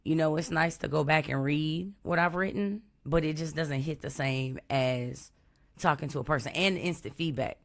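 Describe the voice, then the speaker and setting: A woman from Texas, hosting a live stream in a relaxed, chatty way over an online call. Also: deep voice, moderate pace. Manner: relaxed, chatty, open about personal experience. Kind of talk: casual chat. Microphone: online call or stream mic.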